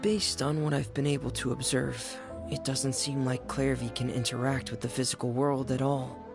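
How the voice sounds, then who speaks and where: A man voicing a quiet, earnest teenage boy in a video game, hesitant and thoughtful in his delivery. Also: medium pitch, little pitch movement, normal speed, gravelly, music in the background, close to an everyday voice. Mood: pensive.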